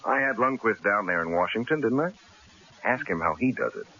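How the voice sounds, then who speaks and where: An actor playing a stubborn, self-assured newspaper columnist in an old-time radio drama, wry and punchy. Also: male voice, on the low side, lively intonation, normal speed, sharp tone. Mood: breezy, unflappable. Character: cocky, stubborn male newspaper columnist, breezy and unflappable. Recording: hissy, dull old radio broadcast recording.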